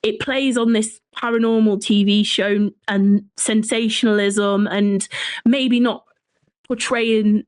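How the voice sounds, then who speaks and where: A woman's voice: mid-range voice, steady tone, slow pace, dry recording. A woman with an English accent talking earnestly and informally as a guest in a conversational interview. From Shropshire, England. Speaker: interview guest, folklore writer.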